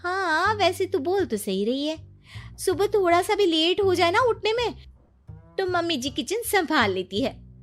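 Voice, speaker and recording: Lively, high-voiced, teasing young woman actress's role. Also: a little slow, soft background music, heavily acted. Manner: teasing, animated. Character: teasing young married friend, cheeky and scheming. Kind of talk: Hindi cartoon story acting.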